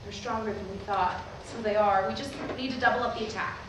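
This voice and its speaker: A woman acting a pragmatic, brisk pastor's wife in a staged play reading. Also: mid-range voice, steady tone, lightly acted. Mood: brisk, insistent. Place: theatre at a live staged reading. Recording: echoey, noisy hall recorded from far off.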